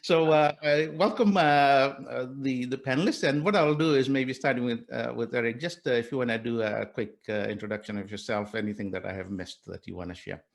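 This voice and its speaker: A man with a gravelly, fry-tinged voice hosts a panel discussion. He is cordial and welcoming and stumbles through frequent fillers and restarts. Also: mid-range voice, brisk, hardly any pauses. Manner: hesitant, many fillers and restarts. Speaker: panel moderator.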